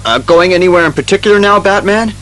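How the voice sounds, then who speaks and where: An actor playing a formal, puzzled police commissioner with a questioning manner in an audio drama. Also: male voice, high-pitched, fast, a bit bright, slight background noise.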